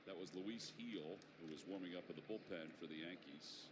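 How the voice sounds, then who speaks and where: Male American baseball announcer, fairly low voice, live commentary from a loud open-air ballpark. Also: normal speed. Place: outdoor baseball stadium. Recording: outdoor ballpark noise. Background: ballpark ambience.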